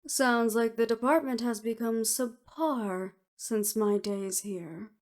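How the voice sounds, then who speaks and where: A woman's voice: fairly high pitch, slow. A woman voicing a clever, unruffled imprisoned researcher in an audio roleplay, speaking precisely and with slight superiority. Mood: proud, explanatory. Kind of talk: scripted audio roleplay acting.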